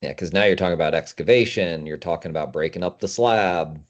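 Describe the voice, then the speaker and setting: A man with a deep voice hosts a casual interview. He chats in a practical, conversational way. The recording is a little dull and lo-fi. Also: fast talker. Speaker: host. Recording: dull, lo-fi audio.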